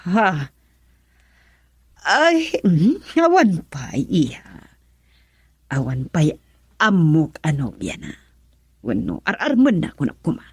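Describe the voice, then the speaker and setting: A middle-aged aunt in an Ilocano radio drama. She speaks slowly in a low, breathy voice that swoops expressively, hesitant and fussy, with a Filipino accent. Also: wildly swooping pitch, no echo, full character voice. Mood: evasive, hesitant.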